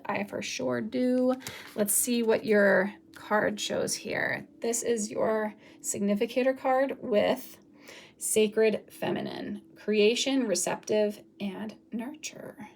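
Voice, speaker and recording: A woman reads tarot for her viewers in a loose, wandering way, often pausing to search for words. Her voice is raspy from a recent illness. She talks in a relaxed, casual manner. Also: medium pitch. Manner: rambling, loose and searching, many fillers. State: raspy from recovering from flu. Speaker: tarot reader. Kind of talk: casual pick-a-card tarot reading.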